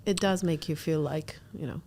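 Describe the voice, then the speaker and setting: Low-voiced woman in reflective interview. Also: moderate pace, mellow, a little hiss or hum. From born in Algeria, grew up in the Middle East. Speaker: interview guest, entrepreneur. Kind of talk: casual reflective conversation.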